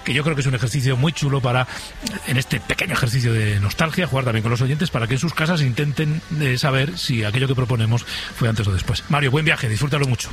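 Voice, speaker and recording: A man's voice: mid-range voice, fast, faint music behind. A man hosting a radio quiz, bantering and chuckling as he goes. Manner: jokey, chuckling. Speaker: radio show host. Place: radio studio.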